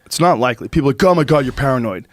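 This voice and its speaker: A man in his fifties hosting a podcast, chatting casually in a lively, profane way while congested from a cold. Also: medium pitch, racing, dry recording. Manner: animated, profane storytelling. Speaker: podcast host. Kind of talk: casual chat. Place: studio with brick wall. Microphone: studio mic on boom arm, headphones.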